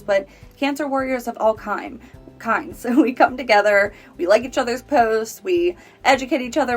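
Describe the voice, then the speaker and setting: Earnest young woman telling her story. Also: mid-range voice. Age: 20s. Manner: earnest, conversational, speaking straight to viewers. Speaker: cancer survivor sharing her story. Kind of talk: personal testimonial.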